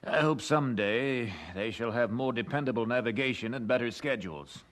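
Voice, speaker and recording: An actor playing a courteous, supportive colonial gentleman in an audio drama, polite and formally conversational. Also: mid-range voice, fairly quick, lightly acted. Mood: genial.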